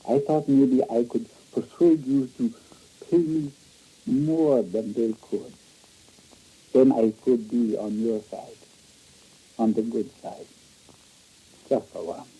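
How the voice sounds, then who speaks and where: An actor playing a greedy, melodramatic foreign male rogue in old-time radio style, pleading and ingratiating in a halting, husky voice. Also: lowish voice, dark tone, full character voice, poor audio. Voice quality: husky, breathy. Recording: old radio recording with faint music.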